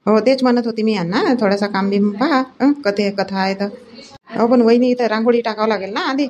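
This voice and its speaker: A woman voicing a sharp-tongued middle-aged village wife in a cartoon comedy, speaking colloquial rural Vidarbha Marathi. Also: a little high, fairly quick, muffled recording, slight background noise, lightly acted. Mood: brisk, covering up. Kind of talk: homely cartoon comedy dialogue.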